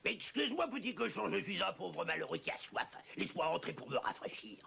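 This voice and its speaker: An actor playing a sly, hungry big bad wolf in a cartoon, gravelly and theatrical, wheedling and blustering. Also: male voice, sits high, very animated, a little fast, pushed and bright, full character voice. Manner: theatrical, mock-polite wheedling turning to threats. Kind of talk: exaggerated cartoon dub acting. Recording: music behind the voice.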